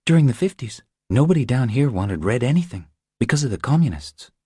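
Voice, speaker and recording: A man voicing a weary, determined but frightened young mother with an earnest, hushed delivery, in an audiobook. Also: mid-range voice, sing-song, a little fast, breathy edge, dry recording, clean studio sound, pitched above the performer's own voice. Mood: bitter.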